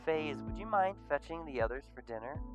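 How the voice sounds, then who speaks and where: A man's voice: a little high, animated, a little fast, music in the background, close to an everyday voice. A man voicing a composed adult figure who hands out tasks with formal politeness. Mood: polite.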